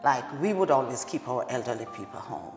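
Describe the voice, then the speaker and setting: A woman's voice: a bass voice, big pitch swings, brisk. A woman with a Jamaican accent, a care worker who gives plain, direct answers in an interview. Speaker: direct support professional. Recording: echoey hall with music behind.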